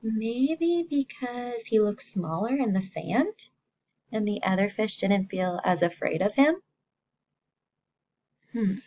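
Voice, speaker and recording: A woman teacher reading a story to young children, expressively, pausing to explain simply and ask the listeners questions. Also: mid-range voice, expressive, darkish tone, lo-fi sound.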